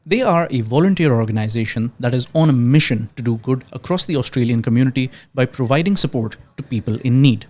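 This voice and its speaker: Young Pakistani-born podcast host. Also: male voice, medium pitch, a little mellow. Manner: scripted intro, then hesitant conversational questions with restarts. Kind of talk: podcast interview.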